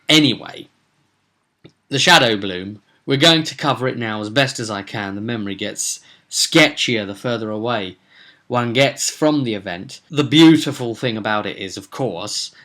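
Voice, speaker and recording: Casting: male game master for an informal vlog, forceful bright tone, digressive and halting delivery. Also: mid-range voice. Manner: rambling, digressive, halting with self-corrections. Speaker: game master recapping his roleplaying sessions.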